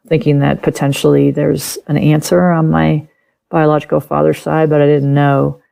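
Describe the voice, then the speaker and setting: A middle-aged woman whose first language is German speaks in a low voice with a gravelly edge. She is an interview guest telling her personal story candidly and animatedly, acting out old conversations. Her voice stays deep and textured throughout. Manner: candid, animated, acts out past conversations and laughs often. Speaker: author and podcast guest.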